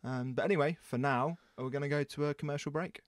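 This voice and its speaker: A man's voice: a little high, fairly even intonation, fast, no room sound, clean studio sound. A man co-hosting a podcast in casual, playful banter with mock-indignant teasing. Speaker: podcast host.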